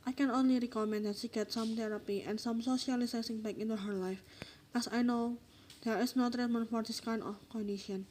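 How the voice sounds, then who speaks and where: A woman playing a formal, apologetic psychiatrist in an amateur radio drama, with a measured delivery. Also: fairly high pitch, steady tone, darkish tone, slight background noise, natural voice, rough recording. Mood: grave.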